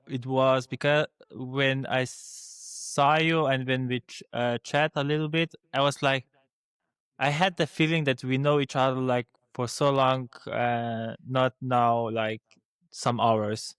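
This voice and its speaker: A man's voice: mid-range voice, steady tone, unhurried, fairly bright tone, clear, resonant tone, dry recording. A young man from Slovenia with a Slovene accent, co-hosting a casual podcast chat and speaking hesitantly with many restarts and fillers. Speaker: podcast co-host.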